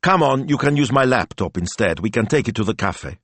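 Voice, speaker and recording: A man's voice: medium pitch, lively intonation, fairly quick, fairly bright tone, no echo, voice pushed up. Man voicing an earnest, indignant male assistant. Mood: eager. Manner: indignant, eager to reassure. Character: earnest, easily wounded, devoted male assistant.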